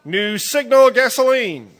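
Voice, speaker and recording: A man's voice: very high-pitched, lively intonation, a little slow, a bit bright, full character voice. A man playing a 1940s radio announcer, reading a commercial in an upbeat, salesy manner.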